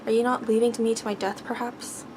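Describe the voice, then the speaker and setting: A woman voicing a sad, weary young prince from a fairy tale, soft and reproachful. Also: a little high, little pitch movement, fast, noisy background, close to an everyday voice. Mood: reproachful, hurt.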